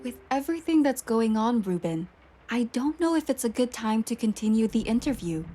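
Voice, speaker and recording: A woman's voice: on the high side, animated, fairly quick, mellow, soft background music. A woman voicing an earnest, probing journalist in a video game. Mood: hesitant. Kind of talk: video game dialogue acting.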